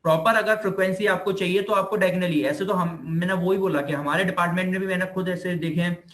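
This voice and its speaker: A man's voice: high voice, fairly even intonation, fast talker, fairly bright tone. A man with an Indian accent giving an informal explanatory lecture as a physiotherapy teacher, repeating himself and checking that students follow. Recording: roomy echo on the voice.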